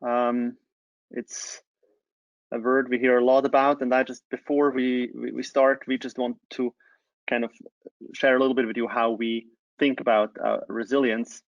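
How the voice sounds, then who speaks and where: Man, mid-pitched, halting webinar presenter, close and dry on lo-fi call audio. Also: level tone, no room sound. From Switzerland. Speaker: change management consultant, webinar presenter. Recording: dull, lo-fi online call audio.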